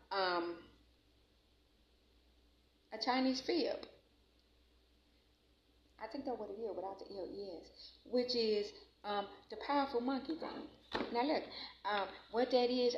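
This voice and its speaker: A woman in her forties from Chicago rambles through a casual book review, stumbling over words she reads aloud and filling gaps with ums. Her voice sits a little high. It is caught on a muffled, lo-fi recording with faint noise in a roomy, echoing space.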